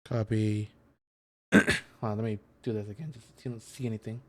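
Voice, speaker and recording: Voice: medium pitch, flat delivery, moderate pace. A video game streamer giving casual, rambling play-by-play commentary, muttering to themself and trailing off.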